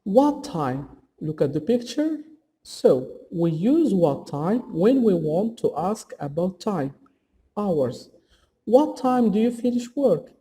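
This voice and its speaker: Man, grammar teacher, high voice, deliberate instructional reading, long echoing hall reverb. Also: very animated, dark tone. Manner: deliberate, didactic, reading out example questions and answers. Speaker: English teacher. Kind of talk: scripted grammar lesson narration.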